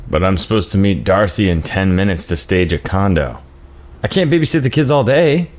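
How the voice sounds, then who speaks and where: An actor reading a stammering, self-centered husband who complains about babysitting in a table read. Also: male voice, sits low, animated, brisk, slight background noise. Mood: defensive. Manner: stammering, defensive, sarcastic.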